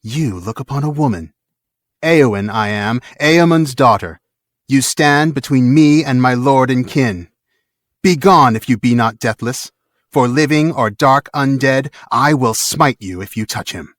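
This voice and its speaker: A man's voice: medium pitch, no room sound, studio quality, voice pushed up, close to an everyday voice. A man voicing a proud young warrior woman, declaiming fiercely and nobly in a dramatic novel reading. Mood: fierce defiance. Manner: declaiming, ringing, measured delivery.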